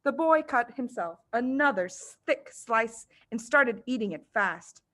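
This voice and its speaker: A woman reading a children's story aloud as expressive scripted narration. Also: fairly high pitch, a little slow. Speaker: storybook reader.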